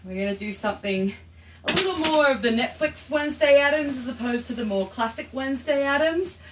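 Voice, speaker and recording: A woman's voice: high-pitched, expressive, brisk, noisy background. A young Australian woman chatting casually on a live stream from her home, greeting viewers as she changes costumes. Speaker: livestream host. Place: home room.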